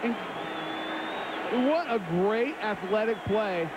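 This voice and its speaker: Male color analyst, high voice, loose and talky, crowd roaring in the background. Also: animated, slow pace. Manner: conversational, repeats words, says "I mean" often. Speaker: color commentator. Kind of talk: sports analysis. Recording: broadcast booth over loud crowd noise.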